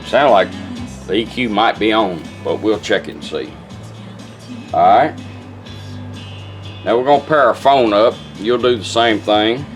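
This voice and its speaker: A man's voice: fairly low pitch, a little slow, pauses often, soft background music. A man talking casually and plainly as he demonstrates a product step by step, in a folksy, instructional way. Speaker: car radio restorer demonstrating a product.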